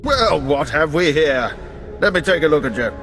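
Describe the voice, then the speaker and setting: A man's voice: on the high side, expressive, moderate pace, in a large hall, music in the background, close to an everyday voice. A man playing a wry, chatty spy chief. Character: wry older male spy station chief.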